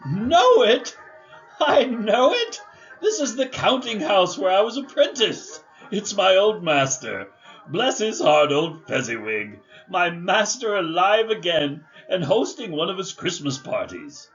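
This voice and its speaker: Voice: mid-range voice, very animated, unhurried, highs cut off, soft background music. An actor playing a frightened, regretful old man in a radio drama, stammering and exclaiming with emotion. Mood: joyful, nostalgic. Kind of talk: family radio drama acting.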